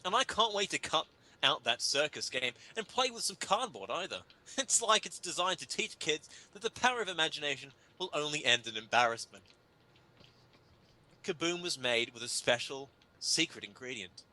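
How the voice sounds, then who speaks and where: A man's voice: fairly high pitch, animated, normal speed, pushed and bright, poor audio. A man giving a theatrical, pompous dramatic reading of comic prose in a mock-grave manner. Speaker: reader of a humorous article.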